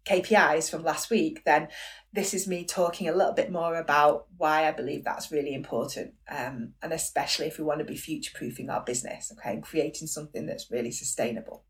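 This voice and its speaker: Croaky-voiced woman hosting a business podcast. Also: deep voice, fairly even intonation, fairly quick, distant mic. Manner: chatty, encouraging, frequent "okay?" and "um". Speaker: podcast host, business coach. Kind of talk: solo podcast monologue.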